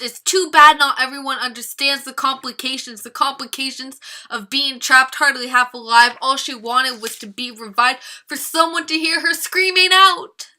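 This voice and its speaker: A woman's voice: fairly high pitch, pushed and bright. A woman reciting a slam poem in a dramatic, rhythmic, rhyming delivery. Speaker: slam poet.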